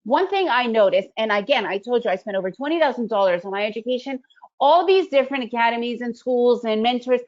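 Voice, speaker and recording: A middle-aged woman whose first language is Russian leads a trading webinar in a fairly high, conversational voice, often checking in with "right?". She comes through a video call with slightly dull, lo-fi sound. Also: expressive, quick pace. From Soviet Union, raised in the United States. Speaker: day trading educator. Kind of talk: webinar presentation.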